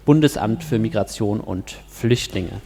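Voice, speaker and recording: A man moderates a panel, steering the guests and summing up their points. His voice is gravelly with a creaky edge. A long hall reverb hangs on it. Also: lowish voice, a little slow, mellow. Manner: guiding, summarising, with fillers.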